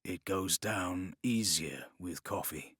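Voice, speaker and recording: A man's voice: low voice, slow, fairly bright tone, slightly rough, no room sound, studio quality, pitched below the performer's own voice, heavily acted. A man playing a proud, ambitious male assassin turning traitor in an audiobook, with a terse, guarded, menacing manner. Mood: feigned sincerity.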